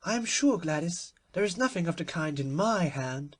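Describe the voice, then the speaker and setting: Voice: medium pitch, expressive, normal speed, pitched above the performer's own voice, close to an everyday voice. A performer voicing a stately, complacent Duchess with a prim, emphatic manner in a storybook.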